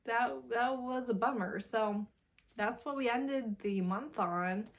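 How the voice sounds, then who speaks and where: A woman's voice: fairly high pitch, takes it slowly. A woman giving a casual, chatty book review in an informal, emphatic way, often repeating phrases for emphasis.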